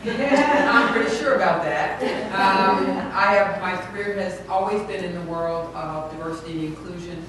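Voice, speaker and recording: A middle-aged woman consultant introducing herself at a panel, deliberate and pausing with fillers. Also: lowish voice, a bit bright. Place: hotel event room. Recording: echoey, noisy room from far off.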